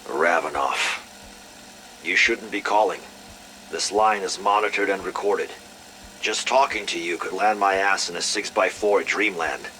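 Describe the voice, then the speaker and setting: An actor playing a guarded, terse, no-nonsense intelligence officer on a call in a video game. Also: male voice, low voice, unhurried, sharp tone, a little hiss or hum, poor audio. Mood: wary, stern. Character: curt, guarded male intelligence agency officer. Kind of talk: dramatic game dialogue on a phone call.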